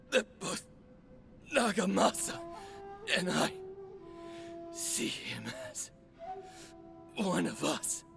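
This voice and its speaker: Very gravelly, halting, wounded man. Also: sits high, full character voice. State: weak, halting, labored breath. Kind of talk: dramatic video game voice acting.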